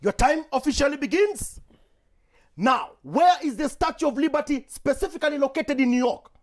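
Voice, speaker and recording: A lively Kenyan man hosts a quiz show from a TV studio. His pitch leaps around as he jokes, laughs and fires off questions, switching between English and Swahili slang. A clean lapel-mic sound. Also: moderate pace. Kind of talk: lively game show hosting. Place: indoor TV studio.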